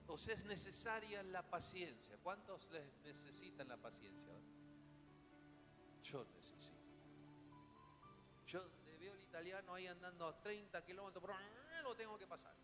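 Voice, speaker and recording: A male preacher with a Rioplatense Spanish accent delivers a sermon in a high-pitched voice. He is dramatic, leaning on rhetorical questions and emphatic repetition. His voice rings through a long hall reverb, with clear music playing behind it. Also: lively intonation, moderate pace.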